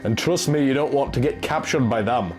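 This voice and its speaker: A man's voice: mid-range voice, a little fast, soft background music, lightly acted. A man voicing an anxious, bossy fantasy companion who gives urgent warnings in a storybook reading. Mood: worried, urgent. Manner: urgent, exclamatory.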